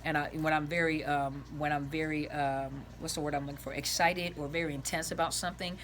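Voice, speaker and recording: Raspy-voiced woman counselor, rambling. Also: deep voice, steady tone, brisk, slight background noise. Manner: rambling, earnest, repeats and restarts phrases; gestures with her hands as she talks. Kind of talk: informal advice talk to viewers. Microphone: camera set on the floor.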